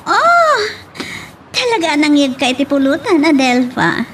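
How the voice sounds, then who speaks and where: A woman's voice: high voice, very animated, measured pace, heavy background noise. A woman acting an emotional drunken woman in an Ilocano radio drama. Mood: tipsy, teasing.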